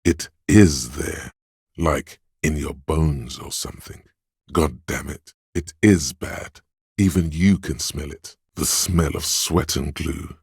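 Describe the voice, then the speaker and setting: A man voicing the wry inner voice of a detective's sense of smell in a game, with a slow, sardonic delivery. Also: a little mellow, no room sound, clean studio sound, heavily acted. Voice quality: deep gravelly husky voice. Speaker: voice actor for game narration. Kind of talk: scripted game voice lines. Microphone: close studio mic.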